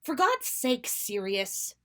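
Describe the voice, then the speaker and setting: A woman's voice: on the high side, wildly swooping pitch, slow pace, a bit bright, voice pushed up, exaggerated voice. A woman voicing a harried motherly woman, snappish but kind and worried, in a story reading. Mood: exasperated.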